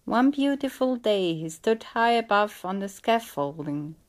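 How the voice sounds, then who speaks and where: Woman telling an old legend, really slow pace, measured storyteller delivery, reading from a script. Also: mid-range voice, lively intonation.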